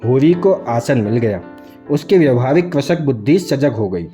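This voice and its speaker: Male narrator, mid-pitched, mellow, literary audiobook style, faint music underneath. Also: animated, moderate pace. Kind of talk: scripted literary story narration. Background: soft music.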